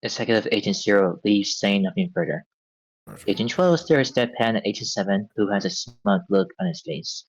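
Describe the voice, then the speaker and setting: A man's voice: fairly low pitch, normal speed, slightly rough, no room sound, dull audio. A man narrating a script's stage directions at a table read.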